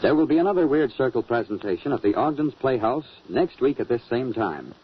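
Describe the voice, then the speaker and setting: A man with a husky voice announcing and selling in the style of an old-time radio commercial. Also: medium pitch. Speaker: radio announcer and sponsor pitchman. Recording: dull vintage radio recording.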